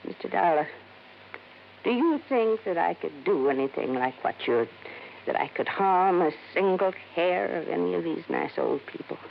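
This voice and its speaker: Radio-drama matron: big, bossy, defensive, folksy; lively pitch, muffled recording with some hiss. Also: female voice, normal speed, vocal fry. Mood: pleading, defensive. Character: big muscular domineering middle-aged woman running old folks' home. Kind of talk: 1940s-50s radio acting.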